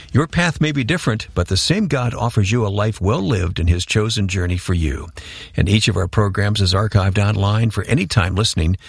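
Husky, gravelly male radio host. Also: on the low side, no echo, studio quality. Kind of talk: scripted radio introduction. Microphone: studio microphone.

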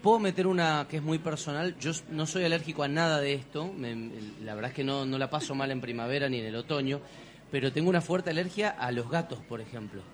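Relaxed Argentine man hosting radio. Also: on the high side, fast talker. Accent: Argentine Spanish. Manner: relaxed, joking, conversational. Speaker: radio host. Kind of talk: casual radio chat. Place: radio studio.

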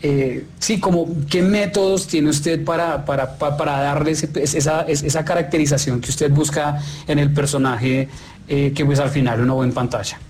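Higher-voiced, halting male interviewer. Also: fairly even intonation, a little mellow. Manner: formal, polite, halting phrasing. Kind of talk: conversational interview questions.

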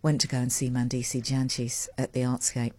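Husky low-voiced woman host. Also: level tone, a little fast, no echo. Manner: rambling, warm and self-mocking, with laughs. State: voice lower than usual after a late night. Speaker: radio show host. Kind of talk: chatty live radio talk. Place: radio studio. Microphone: large studio mic with a fluffy cover.

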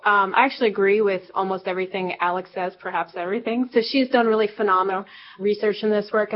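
A woman researcher answering interview questions in an enthusiastic, explanatory way. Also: mid-range voice. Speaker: researcher guest. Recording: dull lo-fi broadcast sound.

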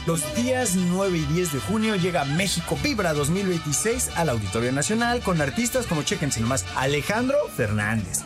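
A lively man with a Mexican Spanish accent co-presents a radio segment. His pitch moves a lot and sits slightly high, and his tone is chatty. Clear music plays behind. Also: moderate pace, hardly any pauses.

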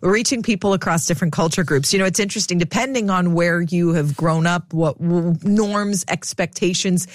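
Radio host: woman, brisk and upbeat, dry close studio mic. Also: fairly low pitch, no echo. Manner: upbeat and quick, with affirming interjections. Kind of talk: talk radio hosting.

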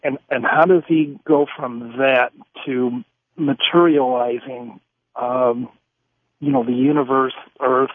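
Man, radio caller, husky medium voice, halting spontaneous speech, dry tinny phone line. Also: mid-range voice, steady tone. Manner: halting, searching for words. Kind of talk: rambling spontaneous question.

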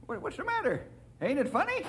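An actor playing a folksy, cackling old-timer ranger sidekick in a western radio drama, with rustic slang. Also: male voice, very high-pitched, huge pitch swings, brisk, noisy background, full character voice. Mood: indignant. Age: older.

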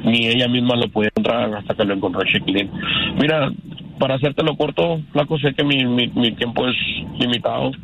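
A man in his fifties with a Mexican accent, giving personal testimony as a radio caller in a halting, earnest, repetitive way. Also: medium pitch, gravelly, background noise. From Canada. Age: 50s.

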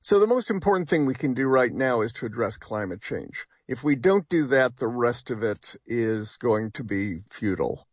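A man's voice: medium pitch, big pitch swings, moderate pace, hardly any pauses, slightly rough, dry recording. A man speaking as a scientist in an interview clip, earnest and heartfelt.

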